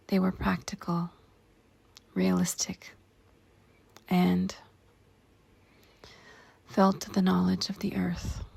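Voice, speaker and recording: A woman leads a meditation in a very breathy, whispered voice full of heavy creak. She speaks slowly, in short deliberate phrases with long pauses between them. Her delivery stays hushed and measured. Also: fairly low pitch. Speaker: meditation guide. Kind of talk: guided meditation narration with spacious pauses.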